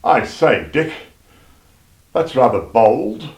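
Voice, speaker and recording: A man's voice: mid-range voice, big pitch swings, slow, reverberant, loud music behind the voice. A man playing a fussy, self-important radio actor in a comic radio play. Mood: fussy, flustered.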